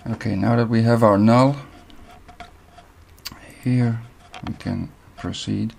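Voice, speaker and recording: Young Dutch-accented man, low gravelly voice, calm screen-recorded tutorial over faint hiss. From Netherlands. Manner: steady step-by-step instruction with short pauses. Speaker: video editing tutor.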